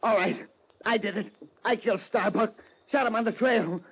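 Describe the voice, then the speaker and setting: An actor playing a surly, cornered crooked rancher in a western radio drama, husky, terse and agitated. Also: male voice, high-pitched, normal speed, exaggerated voice. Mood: panicked confession. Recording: muffled old-time radio recording.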